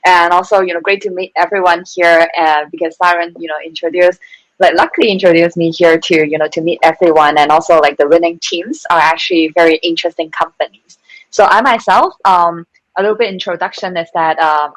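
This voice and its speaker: A woman from Hong Kong talks on a panel in a relaxed, chatty way, full of fillers and self-corrections. Her voice sits a little low, and the sound is dry and close. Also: a little fast, no echo, low-quality recording. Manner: chatty, many fillers and restarts, laughs at herself. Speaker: panelist, venture capital co-founder. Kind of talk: casual panel talk.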